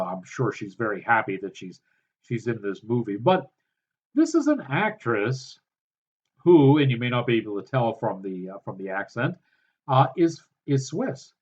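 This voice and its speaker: A man reviewing a film in a sardonic, halting way, with many fillers. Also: medium pitch, breathy edge, lo-fi sound. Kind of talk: casual film review commentary.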